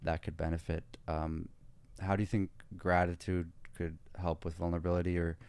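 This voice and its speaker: A man's voice: low-pitched, little pitch movement, moderate pace, darkish tone, smooth, dry recording. A man hosting a podcast, talking in a casual, hesitant way with many fillers. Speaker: podcast host.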